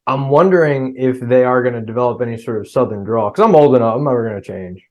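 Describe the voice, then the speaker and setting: Middle-aged New Jersey guy, mid-pitched, rambling casual podcast talk with lots of restarts. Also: fast talker, darkish tone. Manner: rambling, restarts often, acts out his kids' voices. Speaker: podcast guest. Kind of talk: casual chat.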